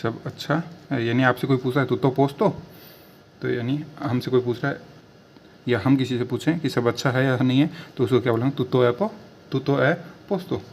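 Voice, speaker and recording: A man teaching a language lesson, repeating each phrase word by word with drawn-out pauses. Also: medium pitch, fairly even intonation, a little mellow, slight background noise. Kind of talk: slow spoken language lesson.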